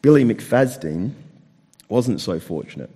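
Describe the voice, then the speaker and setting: A man with a slightly hoarse, croaky voice, preaching a sermon with storytelling as a pastor. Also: on the low side, very animated, measured pace, warm tone. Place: church hall, speaking to a congregation. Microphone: microphone with amplification. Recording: long hall reverb through PA microphone.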